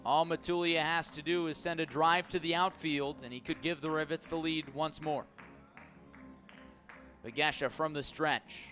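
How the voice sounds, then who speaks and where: A man calling a baseball game live on radio as play-by-play announcer, measured between pitches and lifting his voice on the action. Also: soft background music. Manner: measured narration rising to excited shouts on key plays. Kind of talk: live sports commentary.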